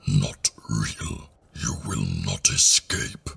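A man voicing a blunt, merciless rhino-like alien police officer in an audio drama, with a gravelly rasp and clipped commands. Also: very deep voice, sing-song, drawn-out words, pushed and bright, slight background noise, full character voice. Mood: commanding. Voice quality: gravelly, rasping. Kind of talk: fan audio drama acting.

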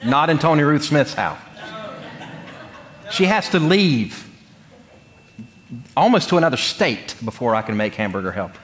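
A middle-aged male pastor gives a sermon full of personal stories. His pitch moves widely and expressively, and he talks conversationally, with humor and deliberate pauses. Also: big pitch swings, a bit bright. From North Carolina, United States. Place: church sanctuary, speaking from the pulpit.

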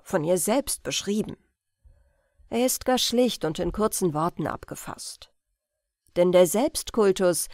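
A woman reading an old-fashioned family tale as an audiobook narrator, in carefully phrased, expressive storytelling. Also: lowish voice, dry recording, clean studio sound. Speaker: audiobook reader.

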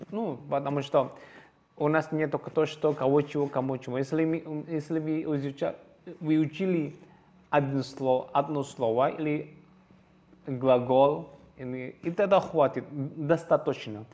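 A man's voice: medium pitch, darkish tone, muffled recording. A man with an Indonesian accent teaching a language lesson over slides in a second language, hesitant, full of fillers and restarts, and repeating each phrase.

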